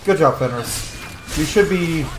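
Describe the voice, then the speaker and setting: A male gaming host chats casually through a playthrough, cracking wise and swearing freely. His voice has a clear room echo, and music plays faintly behind it. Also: on the high side, expressive, a little slow. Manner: informal, sweary, wisecracking. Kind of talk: casual let's play commentary. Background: game music.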